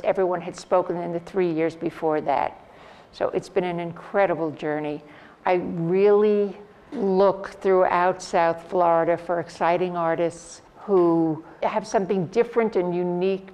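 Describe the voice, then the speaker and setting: A woman hosting an art salon, giving a measured, formal introduction of the evening's speaker. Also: on the low side, darkish tone. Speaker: host of art salon talks.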